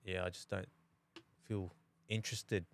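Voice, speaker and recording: A man from New Zealand, in his thirties, chatting on a podcast in an easygoing, halting way with lots of restarts. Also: low-pitched, little pitch movement, noisy background. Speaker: podcast co-host. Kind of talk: casual chat.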